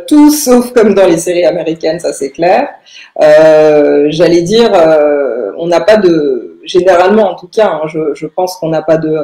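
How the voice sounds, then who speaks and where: A young woman, answering in a podcast interview with halting phrasing and very frequent filled pauses. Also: lowish voice, mellow. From Paris, France. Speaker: lawyer, interview guest. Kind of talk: podcast interview answers about own career. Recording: roomy, echoing room sound.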